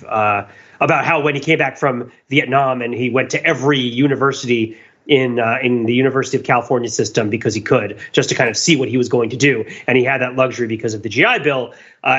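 A man's voice: medium pitch, fairly bright tone, husky, lo-fi sound. A man co-hosting a podcast, talking through his analysis in a casual, rambling, self-interrupting way with wry asides. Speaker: podcast co-host.

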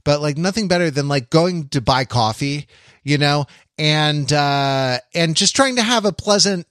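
A man with a slightly high voice talks casually as a podcast co-host, recorded close and dry. He feels his way through each thought haltingly, hedging as he goes. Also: animated, fairly bright tone, no room sound. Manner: halting, thinking aloud, hedging with "like" and "you know". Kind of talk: casual conversational podcast discussion.

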